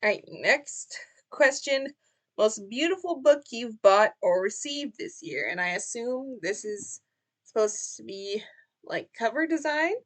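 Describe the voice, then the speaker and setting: A woman's voice: a little high, expressive, unhurried. A young woman chatting casually through a book tag, rambling with many fillers and restarts. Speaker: book vlogger.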